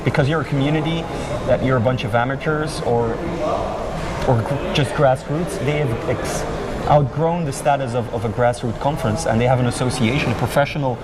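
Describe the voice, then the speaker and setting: A man's voice: mid-range voice, brisk. A Belgian man hosting a conference interview, thoughtful and rambling with restarts as he talks. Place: busy conference venue. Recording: mic at a distance, loud crowd noise.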